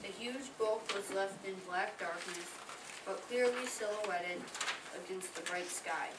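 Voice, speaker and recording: Mid-pitched woman reading through heavy noise. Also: measured pace. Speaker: readers theater reader of survivor accounts. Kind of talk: scripted dramatic reading. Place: library readers theater performance, indoors. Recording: echoey, distant, very noisy room.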